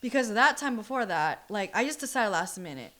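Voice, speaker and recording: A woman's voice: medium pitch, very animated, fairly quick, a bit bright. A young woman chatting casually and telling stories in loose, slangy speech. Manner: informal, slangy, storytelling. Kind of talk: casual chat.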